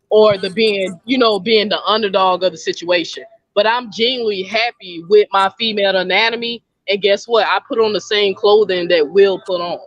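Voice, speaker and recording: A woman's voice: mid-range voice, no room sound, lo-fi sound. A woman guest on a talk panel, speaking bluntly and colloquially to the group. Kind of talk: casual debate chat.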